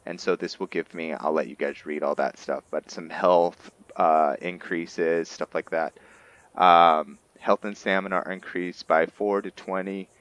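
A man's voice: deep voice, steady tone, a little slow, a bit bright, no echo. A man giving casual commentary on his roleplay playthrough, explaining things to viewers and often answering his own questions. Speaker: gamer narrating a roleplay playthrough.

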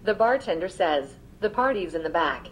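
A woman's voice: fairly low pitch, moderate pace, a little hiss or hum, poor audio. A text-to-speech female assistant voice answering questions politely, played from a small smart speaker in a room. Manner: even, polite, machine-read delivery. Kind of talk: synthetic assistant replies. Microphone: small speaker played back in a room.

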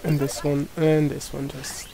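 A man's voice: fairly high pitch, completely flat delivery, a little slow, gravelly, background noise. A young man from Portugal with a Portuguese accent, casually commenting on a game while he plays, mumbling to himself. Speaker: gameplay commentator.

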